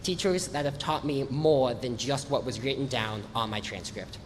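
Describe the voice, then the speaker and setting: A teenage boy, a bit congested from allergies, delivers his prepared speech at a measured, earnest pace. His mid-pitched voice carries through a big reverberant hall over a faint background hum. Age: teens. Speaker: graduating student speaker. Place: large hall or gym, on stage. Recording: echoey hall with faint noise.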